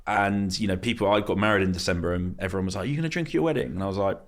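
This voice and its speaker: Male podcast host with a very low voice, easygoing conversational delivery. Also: racing. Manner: relaxed, laughs easily. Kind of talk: casual podcast chat.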